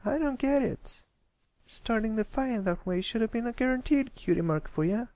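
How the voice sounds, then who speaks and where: A man's voice: very high-pitched, lively intonation, moderate pace, darkish tone, no room sound, pitched above the performer's own voice. A man voicing a kindly, blunt and curious young pony filly in a storybook reading, in a plain-spoken way. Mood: puzzled.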